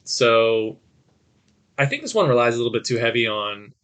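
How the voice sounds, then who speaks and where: Male podcast host, very bright and forceful voice, average pitch, casual opinionated chat. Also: sing-song, normal speed, clean tone. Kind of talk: casual film discussion.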